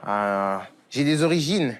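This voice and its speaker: A man's voice: medium pitch, expressive, slow pace. A man from France giving a firm, matter-of-fact interview answer. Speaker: footballer interviewee.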